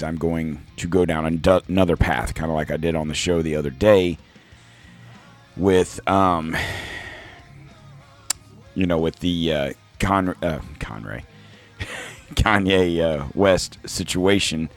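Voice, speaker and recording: A man hosting a podcast in a casual, rambling monologue, his voice hoarse from throat trouble, reading sponsor spots along the way. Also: sits low. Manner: rambling, self-deprecating, reads sponsor ads. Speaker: podcast host. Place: home podcast studio.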